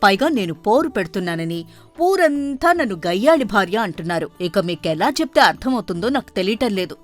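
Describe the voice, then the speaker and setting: A woman voicing a shrewish, quarrelsome wife in an animated tale, scolding and complaining. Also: mid-range voice, fairly quick, faint music behind, close to an everyday voice. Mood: aggrieved.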